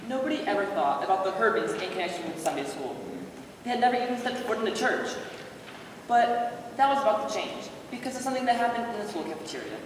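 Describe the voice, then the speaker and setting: A woman's voice: mid-range voice, animated, fast talker, close to an everyday voice. A woman narrating a children's Christmas skit as a storyteller in a readers theater show. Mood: storytelling. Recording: echoey hall, far from mic, faint noise.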